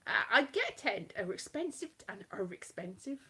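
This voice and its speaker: A woman's voice: medium pitch, big pitch swings, normal speed, a bit bright, slightly rough. A Welsh woman with a light West Country-tinged accent, a comedian chatting casually on a live stream from her home kitchen in a rambling, self-mocking way. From South Wales. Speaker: comedian performing at a festival.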